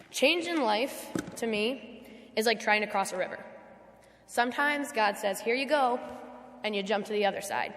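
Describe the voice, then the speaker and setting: A teenage girl with a slightly hoarse, scratchy voice, giving an earnest, heartfelt church testimony with frequent ums. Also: mid-range voice. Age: teens. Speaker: youth testimony speaker. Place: church sanctuary. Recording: long reverb of a church hall.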